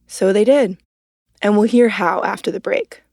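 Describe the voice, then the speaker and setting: A woman's voice: medium pitch, moderate pace, darkish tone, no echo, clean studio sound. A woman narrating a documentary podcast from a script in a conversational, punchy way.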